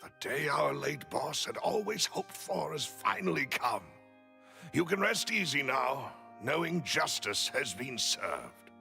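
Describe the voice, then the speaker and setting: A man playing a loyal, solemn henchman in a video game, gravelly and deliberate. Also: mid-range voice, big pitch swings, pushed and bright, faint music behind, exaggerated voice. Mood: solemn relief. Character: loyal, solemn male henchman of late boss.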